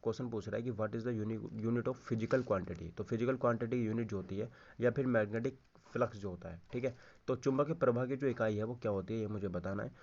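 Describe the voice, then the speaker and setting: The speaker is a man with a fairly low-pitched voice. He teaches in an informal exam-coaching style, repeating answers and often checking that listeners follow. He switches between Hindi and English terms, and the recording sounds dull and lo-fi. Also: brisk, hardly any pauses, a little mellow. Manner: repeats key answers, frequent check-in tags, addresses listeners directly. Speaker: exam-prep physics teacher. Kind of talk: informal lesson, going through quiz questions.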